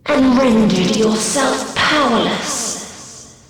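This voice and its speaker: A woman's voice: on the high side, very slow, recorded from a distance. A woman voicing a menacing, sorrowful otherworldly spirit in a video game, speaking with solemn, ominous declamation. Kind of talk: dramatic video game voice acting. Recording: heavily echoing, long cavernous reverb.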